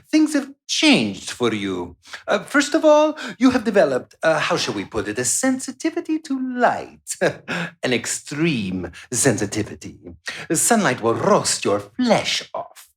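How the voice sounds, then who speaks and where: Gloating, theatrical vampire boss, swooping pitch. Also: male voice, huge pitch swings, a little slow. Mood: condescending menace. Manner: theatrical, condescending, chuckling. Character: gloating, menacing male vampire doctor and boss. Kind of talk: live radio play acting.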